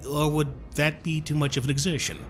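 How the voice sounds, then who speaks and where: A man playing a suave gentleman stranger in an audio drama, florid, over-polite and slyly mocking. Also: a little high, little pitch movement, fairly quick, faint music behind, lightly acted. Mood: courtly, needling.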